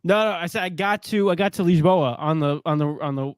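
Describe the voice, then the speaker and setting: A man's voice: fairly high pitch, a little fast, a little hiss or hum. A man co-hosting a casual sports chat, joking and rambling as he tells stories. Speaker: podcast co-host.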